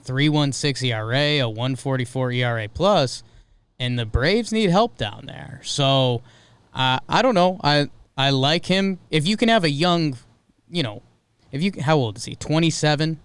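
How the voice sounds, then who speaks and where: A man's voice: on the high side, lively intonation, normal speed, clean tone, dry recording. A man co-hosting a sports podcast in casual, conversational chat.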